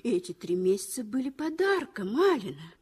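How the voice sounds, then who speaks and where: A woman's voice: a little high, big pitch swings, normal speed, a little mellow, slightly rough. An actress playing a motherly woman colleague who consoles a young nurse, in a 1960s radio drama. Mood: consoling.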